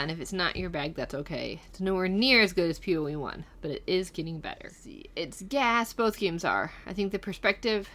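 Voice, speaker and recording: A middle-aged woman with a voice a little on the low side. She reads comments aloud in a casual, conversational style, musing over them as a guest host would. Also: fairly bright tone. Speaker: guest host of a gaming channel reading viewer comments. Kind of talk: casual reading aloud of comments with chatty asides.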